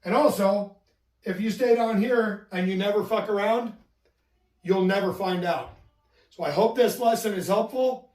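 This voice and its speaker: A man giving a deadpan, methodical mock lesson with casual profanity, recorded in a roomy, distant-sounding video. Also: high-pitched, moderate pace. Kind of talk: deadpan instructional explanation.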